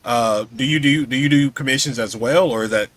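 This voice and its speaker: A man interviewing casually as a podcast host, haltingly rewording his questions as he goes. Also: medium pitch, fairly bright tone.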